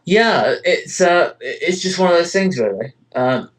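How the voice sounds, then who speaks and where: A man's voice: a little high, lively intonation, a little slow. Rambling man in a casual interview. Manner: hesitant, rambling delivery with frequent "you know" and "kind of". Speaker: interviewee, amateur voice actor and web parody creator.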